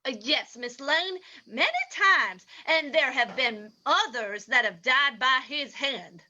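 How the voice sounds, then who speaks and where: A woman acts a folksy, baffled sheriff in an old-time radio play. The voice is high and swings widely, with slow, halting delivery. The recording is a little dull. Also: lively intonation, sharp tone, heavily acted. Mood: baffled, grave. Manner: hesitant, halting. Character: baffled small-town male sheriff, hesitant, folksy. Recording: dull lo-fi sound.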